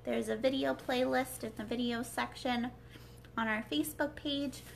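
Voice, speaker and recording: A woman's voice: a little high, steady tone, normal speed, creaky. A young adult woman hosting a children's read-aloud, reading a story indoors at a little distance from the mic. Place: small living room, indoors. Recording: faint noise, home livestream.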